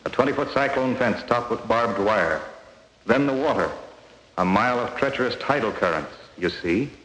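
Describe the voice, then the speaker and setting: An actor playing a stern, boastful official making clipped, emphatic declarations in a 1940s radio drama, on an echoey old recording. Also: male voice, fairly low pitch, animated, normal speed, fairly bright tone. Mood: stern, certain.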